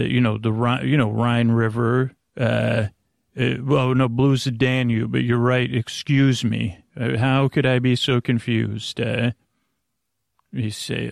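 A man hosting a sleep podcast with a rambling, improvised monologue, meandering and halting as he drifts into tangents. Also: medium pitch, gravelly, no echo, studio quality. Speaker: podcast host.

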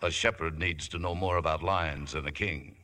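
Deep-voiced, brash biblical strongman. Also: steady tone, moderate pace, pushed and bright. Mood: brash, wry. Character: bold Danite strongman and judge, brash suitor. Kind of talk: 1950s radio drama acting.

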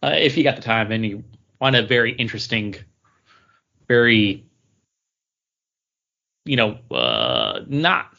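A man's voice: lowish voice, sing-song, a little slow, vocal fry, pauses often, pushed and bright. A man co-hosting a podcast, chatting casually as he reviews comic books. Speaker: podcast co-host. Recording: dull lo-fi podcast audio.

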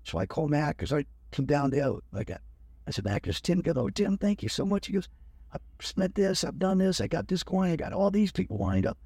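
Older man, husky voice, dry close sound, animated storytelling, quotes others. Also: a little high, moderate pace, dry recording. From United States. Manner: animated, acts out other people's lines. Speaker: guest. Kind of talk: storytelling in an interview.

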